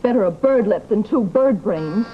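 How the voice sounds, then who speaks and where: A woman's voice: medium pitch, lively intonation, normal speed, natural voice. Actress as a prim, aggrieved young woman, vintage radio. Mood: indignant. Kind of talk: old-time radio horror-comedy acting. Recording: muffled, noisy old radio recording.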